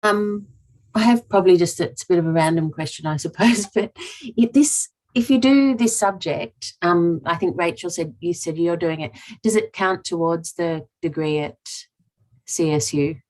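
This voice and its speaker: A woman's voice: on the low side, normal speed, a little mellow. A woman student asking a question hesitantly and agreeing a lot during an online class video call. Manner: hesitant, with many small agreements.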